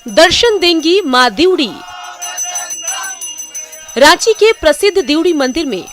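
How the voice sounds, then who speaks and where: A woman's voice: very high-pitched, very animated, measured pace, over music. A woman with an Indian accent delivering a scripted television news voice-over. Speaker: news narrator.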